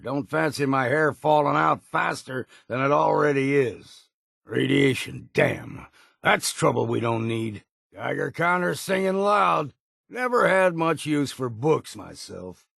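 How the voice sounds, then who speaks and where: An actor playing a gruff, folksy, weathered old sailor in a video game, with a gravelly, raspy voice and rustic, plain-spoken phrasing. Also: male voice, on the high side, a bit bright, no echo, studio quality, heavily acted. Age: older. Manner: folksy, rustic turns of phrase, dropped grammar. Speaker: video game companion character.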